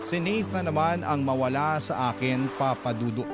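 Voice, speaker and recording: An actor with a Filipino accent playing a grieving, fearful parent, narrating a radio drama in a solemn, emotional first-person style. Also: male voice, mid-range voice, normal speed, close to an everyday voice. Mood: fearful, sorrowful. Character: grieving, fearful parent confiding a life story. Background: music.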